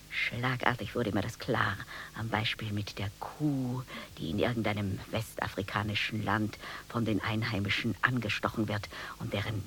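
An actress playing a brooding, sardonic, anxious woman in a radio play monologue, with a breathy, ruminating delivery. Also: very deep voice, slight background noise. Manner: theatrical, ruminating, drawn-out emphatic phrasing.

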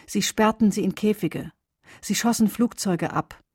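A woman reciting poetry for a radio drama in deliberate, weighted, declamatory phrases. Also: mid-range voice, a little mellow, slightly rough, dry recording, studio quality. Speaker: reader in a radio adaptation of a poem cycle.

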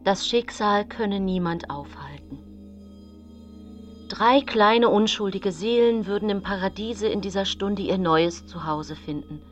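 Slow, deliberate, cold-willed mother played by an actress. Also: mid-range voice, lo-fi sound, faint music behind, lightly acted. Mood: solemn. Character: mother voicing remembered words, pious yet coldly determined. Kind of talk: radio drama monologue with quoted speech.

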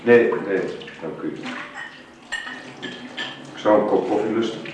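A man's voice: on the low side, measured pace, darkish tone, lightly acted. An actor playing a middle-aged, formally polite and remorseful husband and father in a radio drama, hesitant and stammering. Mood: awkward. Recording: dull, roomy, noisy radio drama recording.

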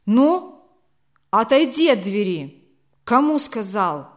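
Женщина изображает ожесточённого пьющего мужа, говорит медленно, с нажимом. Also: female voice, a little high, very animated. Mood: angry, scornful. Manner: slow, emphatic, dramatic delivery. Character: bitter, self-loathing alcoholic husband, gruff and defiant.

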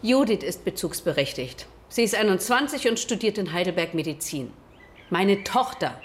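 A woman playing a bitter, evasive middle-aged doctor in a radio drama, with a cool, measured and complaining manner. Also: medium pitch, sing-song, close to an everyday voice. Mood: guarded. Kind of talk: naturalistic radio drama acting.

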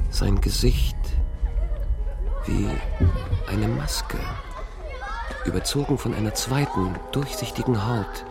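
An actor playing a haunted, brooding man who recalls intrusive memories in fragmentary monologue for a radio drama. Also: fairly low pitch. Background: music.

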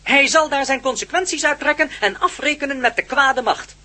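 An actor playing a solemn, gentle alien in a radio play, speaking in formal, measured declarations. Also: high voice. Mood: calm, assured. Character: Jack, solemn gentle alien being of plant-like race.

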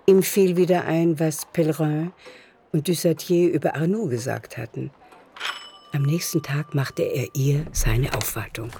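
A man narrating a literary radio play in a measured, deliberate manner. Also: a little high, mellow, a little hiss or hum.

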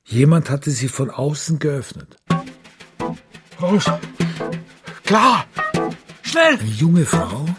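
An actor playing a brooding, haunted father in a radio drama, speaking in a halting, confiding way. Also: medium pitch. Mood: tense recollection. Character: brooding divorced father haunted by his lost daughter. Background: music.

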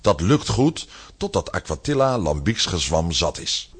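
A man with a lowish, husky voice tells a children's adventure story. His scripted narration swings dramatically up and down in pitch. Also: very animated, measured pace. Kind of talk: animated scripted narration of a children's radio play.

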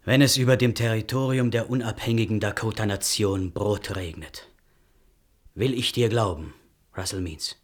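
An actor playing a sceptical, impatient young Dakota grandson with a husky voice in a German radio play. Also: male voice, on the low side, moderate pace, natural voice. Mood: defiant, sceptical.

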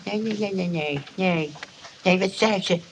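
An old grandfather who talks very slowly. He sounds anxious and fretful, in radio play style, with light noise and ambience behind him. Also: high-pitched, poor audio. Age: older. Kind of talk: Dutch radio play acting.

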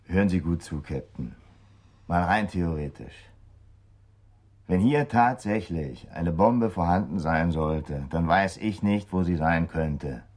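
Sardonic, defiant militant suspect. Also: male voice, sits low, expressive, slow, a little mellow, heavily acted. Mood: cool, taunting. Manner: mocking, provocative. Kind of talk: German radio drama acting.

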